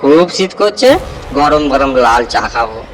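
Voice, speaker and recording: A man's voice: mid-range voice, big pitch swings, unhurried, slight background noise, pitched below the performer's own voice, rough recording. A man voicing a menacing, bloodthirsty headless ghost in a horror audio story. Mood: menacing, craving.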